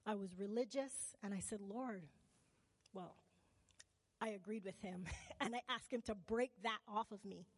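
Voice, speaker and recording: A woman's voice: mid-range voice, measured pace, long pauses, dry recording, long reverb tail. A woman from Barbados preaching a sermon. She keeps asking the congregation questions and calls for "amen" and "right?".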